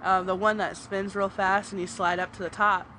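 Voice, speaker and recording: Easygoing woman, deeper than usual. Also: level tone, moderate pace, bright, forceful tone, slight background noise. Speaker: podcast co-host. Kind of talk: casual chat.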